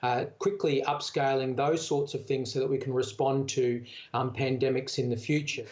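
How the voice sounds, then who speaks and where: A man's voice: mid-range voice, steady tone, normal speed, darkish tone, dull audio. A man with an Australian accent speaking conversationally as a medical expert in an unscripted interview. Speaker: doctor, medical association spokesman.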